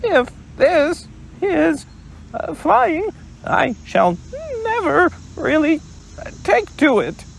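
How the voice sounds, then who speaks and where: Small timid piglet, squeaky, spluttering, very high, gravelly edge, faint vehicle noise. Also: male voice, big pitch swings, slow, full character voice. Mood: nervous.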